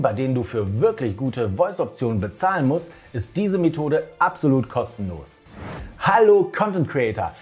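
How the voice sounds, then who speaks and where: A man in his forties hosting a software tutorial, explaining step by step in a direct, conversational and instructive way. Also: fairly high pitch, husky. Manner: direct, instructive, addressing the viewer. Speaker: tutorial host. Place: small home studio room, indoors.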